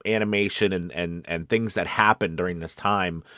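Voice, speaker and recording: Low-voiced man hosting a casual coaching stream. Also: steady tone, moderate pace, a bit bright, no echo, clean studio sound. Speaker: host of a voice-acting coaching show. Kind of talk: casual livestream coaching talk.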